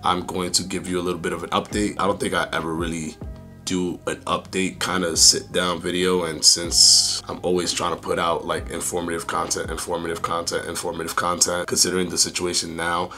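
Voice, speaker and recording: A young man with a hoarse, raspy voice, rambling casually through a fitness vlog update with many fillers. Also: low voice, flat delivery, brisk, sharp tone, faint music behind. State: hoarse, losing voice. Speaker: fitness YouTuber.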